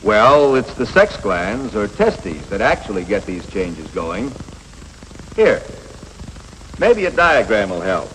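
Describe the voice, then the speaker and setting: Animated, reassuring 1950s American male instructor. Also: on the low side, slow, full character voice. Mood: patient, explanatory. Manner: plain-spoken, explanatory, reassuring. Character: patient, matter-of-fact male coach teaching adolescent boys. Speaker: instructor of teenage boys. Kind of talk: 1950s educational film acting. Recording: noisy, reverberant 1950s film soundtrack.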